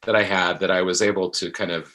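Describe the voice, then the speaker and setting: Deep-voiced, halting man with bright edge. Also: steady tone. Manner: halting, searching for words. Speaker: voice actor as podcast guest. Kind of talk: reflective conversational interview.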